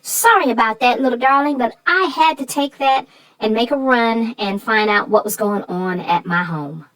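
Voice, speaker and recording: A woman voicing a sassy, feisty female co-host in chatty banter, with a folksy, emphatic, drawn-out way of telling things. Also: on the high side, a bit bright, rough recording. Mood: apologetic. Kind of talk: scripted chatty banter about a children's book.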